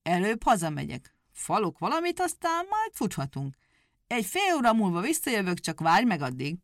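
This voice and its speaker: A sly little male hedgehog with crooked legs, homely and stubborn, his voice lifting a bit above the teller's, as a woman puts him on. He speaks in a lively, bouncing storybook tone. The sound is dry and close to the mic. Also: mid-range voice, no room sound. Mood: bossy.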